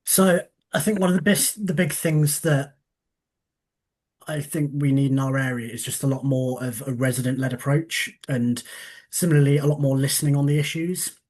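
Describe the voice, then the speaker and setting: A man's voice: medium pitch, steady tone, moderate pace, darkish tone. A man standing as a council candidate, speaking fluently with some fillers, whose accent is not from the area. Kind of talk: speaking at a public meeting.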